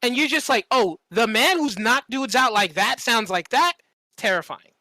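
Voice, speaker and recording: Man, bright forceful voice, dry close sound, casual podcast storytelling, emphatic, sweary. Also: very high voice, animated, normal speed, no echo. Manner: emphatic, profane, repeats phrases for effect. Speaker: podcast host. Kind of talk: casual chat, animated storytelling.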